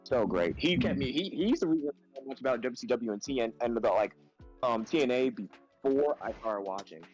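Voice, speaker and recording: A man's voice: mid-range voice, lively intonation, a little fast, a little mellow, soft background music. A man from New York casually chatting on a wrestling podcast, laid-back and full of "um" and "bro". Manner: laid-back, filler-heavy, says "bro" a lot. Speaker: podcast co-host.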